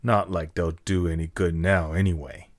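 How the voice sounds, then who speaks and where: Guy narrating a story, deep voice, dry close recording. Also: level tone, moderate pace, dry recording.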